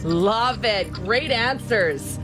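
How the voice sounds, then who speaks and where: Lively woman co-hosting morning radio. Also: mid-range voice, extremely slow, over music. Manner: encouraging, playful teasing. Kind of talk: casual morning show banter and game hosting.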